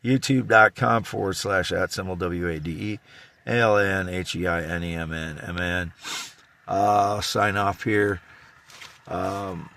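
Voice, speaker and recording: A man in his fifties from Iowa with a Midwestern American accent and a scratchy voice, rambling in a halting, repetitive, insistent monologue. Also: low-pitched, fairly even intonation, creaky, pushed and bright. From Iowa, United States. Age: 50s. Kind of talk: rambling self-recorded monologue.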